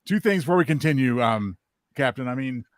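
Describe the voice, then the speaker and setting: A man's voice: medium pitch, animated, fairly quick, no room sound, clean studio sound. A man in his fifties co-hosting with casual, chatty commentary. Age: 50s. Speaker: co-host.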